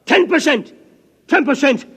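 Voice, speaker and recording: An actor playing an aggrieved, nervous scientist with an indignant, repetitive manner, in 1950s radio drama style. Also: male voice, extremely high pitch, expressive, a little slow, fairly bright tone, full character voice. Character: aggrieved, nervous male scientist, whiny and obsessive. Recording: dull, reverberant vintage radio recording.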